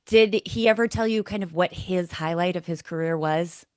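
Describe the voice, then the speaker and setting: A woman's voice: fairly low pitch, normal speed, no echo, studio quality. A woman co-hosting a podcast in a casual, enthusiastic and curious conversational style. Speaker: podcast co-host. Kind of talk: casual chat.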